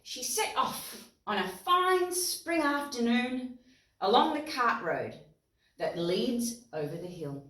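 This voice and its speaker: A high-voiced Australian woman reads a children's story at an unhurried pace. She is expressive and explains words simply for little listeners. The recording is roomy, as if the mic sits a little way off. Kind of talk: animated read-aloud storytelling for young children, with songs. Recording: roomy echo, distant mic, guitar music.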